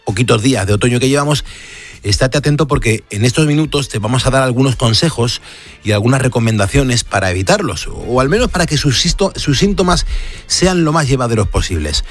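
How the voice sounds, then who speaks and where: A man's voice: medium pitch, expressive, fairly quick, soft background music. Castilian Spanish man hosting late-night radio. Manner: conversational, addresses the listener directly. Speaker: radio host. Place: radio studio.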